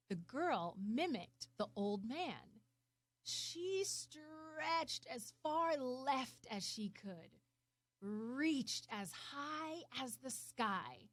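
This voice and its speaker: A woman reads a children's story aloud. Her voice is fairly high and her delivery is very slow, deliberate and expressive. The recording is dry. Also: no echo. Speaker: storybook reader. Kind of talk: scripted children's story narration.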